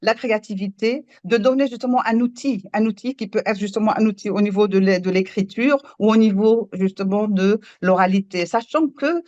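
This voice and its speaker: A woman's voice: medium pitch, brisk, few pauses, dark tone, no room sound. A woman storyteller whose first language is Moroccan Arabic, speaking earnestly and searching for her words in a panel discussion over a remote connection. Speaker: storyteller and workshop leader. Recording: dull dry remote audio.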